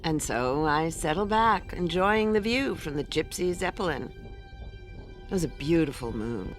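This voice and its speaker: An actress playing a cool, tough sci-fi detective in an audio drama, dry and laconic. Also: female voice, sits low, lively intonation, a little slow, faint music behind, poor audio. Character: cool, tough female sci-fi detective narrating her adventure. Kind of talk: scripted first-person audio drama narration.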